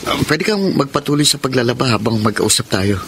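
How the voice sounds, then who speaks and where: A man's voice: medium pitch, brisk, noisy background, lightly acted. A man acting a conflicted, guilty young man in a Filipino radio romance drama, speaking hesitantly with stammers. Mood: hesitant, pleading. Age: young adult. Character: conflicted young man, guilty, torn between two women.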